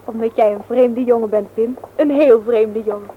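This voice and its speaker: A woman's voice: a little high, fairly even intonation, normal speed, narrow, tinny audio, a little hiss or hum, close to an everyday voice. An actress playing a teasing, condescending young woman in a radio drama, with a mocking, haughty manner. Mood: mocking, fond. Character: sharp, teasing young dental assistant, condescending.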